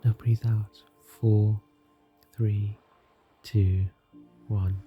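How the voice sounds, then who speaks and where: A man leads a guided meditation in a soft, soothing, scripted narration, calm and gentle, leaving long unhurried pauses. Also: fairly low pitch, dull audio. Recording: music behind the voice. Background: soft music.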